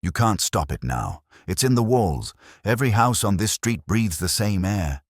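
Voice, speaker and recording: A man's voice: on the low side, very animated, normal speed, dry recording, clean studio sound, voice pushed up. A man voicing a dying, defiant, obsessed villain in an audiobook, ominous and fatalistic. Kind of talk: audiobook character dialogue.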